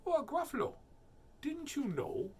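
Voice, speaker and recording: A man's voice: very high-pitched, huge pitch swings, normal speed, pitched above the performer's own voice, heavily acted. A man voicing a small, crafty storybook mouse who is exaggeratedly polite and boastful, with a put-on accent. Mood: sly, boastful.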